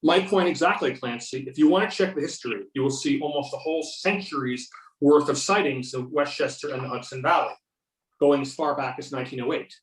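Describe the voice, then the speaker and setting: A man's voice: fairly high pitch, a little fast, natural voice. A man playing an earnest, argumentative agent who believes in UFOs, reading in an amateur readers theater over a video call. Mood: keen, insistent. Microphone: video or conference call. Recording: roomy, distant video-conference microphone.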